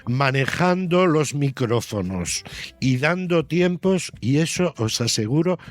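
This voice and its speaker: A man speaks as an interview guest in a casual, conversational way. His voice sits a little high for a man, and his manner is encouraging. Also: expressive, slow pace, no echo.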